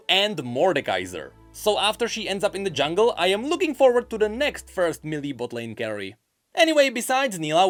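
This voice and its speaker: A man narrates gaming news in a fairly high, animated voice that swings up and down in pitch. His delivery is scripted, with dry, sarcastic asides, over faint background music. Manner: wry, dryly sarcastic asides. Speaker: host of a gaming news show. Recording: music behind the voice.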